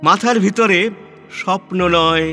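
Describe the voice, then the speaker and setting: A man with a high voice recites poetry solemnly and dramatically. He goes slowly, setting short phrases apart with deliberate pauses. Long reverb surrounds the voice, and clear instrumental music plays behind it. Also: sharp tone. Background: musical instrument backing.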